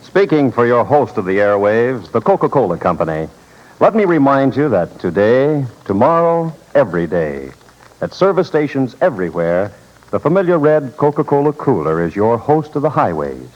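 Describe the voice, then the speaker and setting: A man's voice: medium pitch, sing-song, normal speed, soft background music. An American man reading scripted ads and introductions as a 1940s radio announcer. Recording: dull 1940s radio broadcast.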